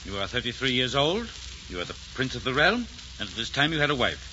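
A man's voice: mid-range voice, very animated, quick pace, close to an everyday voice. An actor playing a stern, pompous old French king and father in a 1940s radio drama. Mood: formal. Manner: pompous, commanding. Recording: muffled 1940s radio recording, faint noise.

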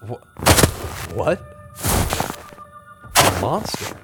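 A man's voice: mid-range voice, huge pitch swings, very slow, heavily acted. A man playing an earnest investigator in a horror audio drama, with grave, dramatic delivery as he hunts a killer. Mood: alarmed. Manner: grave, dramatic inner narration. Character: earnest male investigator hunting a killer in monster-haunted woods. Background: loud music.

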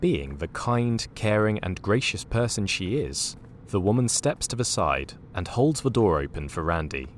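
A man's voice: lowish voice, big pitch swings, normal speed, slight background noise. A man narrating a scripted comedic audio drama in a theatrical, wry announcer's style.

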